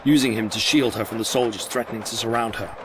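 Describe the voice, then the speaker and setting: A man's voice: on the low side, steady tone, quick pace, noisy background. A man delivering scripted dramatic narration as the narrator of an audio drama.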